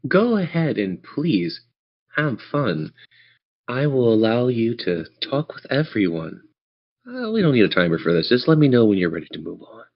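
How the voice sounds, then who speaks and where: A man running a tabletop game as game master, narrating in a theatrical, measured storytelling manner. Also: medium pitch, big pitch swings. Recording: dull lo-fi audio.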